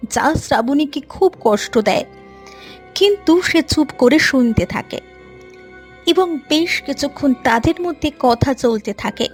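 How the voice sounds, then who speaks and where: High-pitched woman narrating a story. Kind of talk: scripted storybook narration for animated moral tale.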